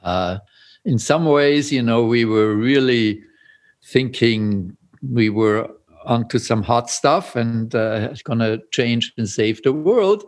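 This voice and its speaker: An older man from Austria with an Austrian German accent, a Zen priest speaking as an interview guest, pausing often with fillers and soft chuckles. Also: mid-range voice. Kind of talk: reflective interview talk.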